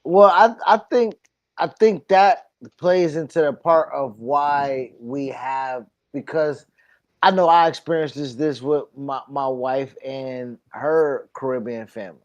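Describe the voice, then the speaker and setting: A man co-hosting a casual podcast chat, talking in a halting way and repeating himself as he searches for words. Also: medium pitch, dull audio. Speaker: podcast co-host.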